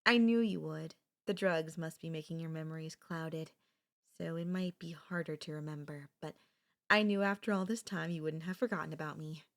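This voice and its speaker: An obsessive young woman who dotes on her captive childhood friend, acted out by a woman for an ASMR audio drama. Her voice sits a little low for a woman. She speaks softly and intimately, with a clingy, pleading, possessive devotion. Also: normal speed, gravelly. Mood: wistful, hurt.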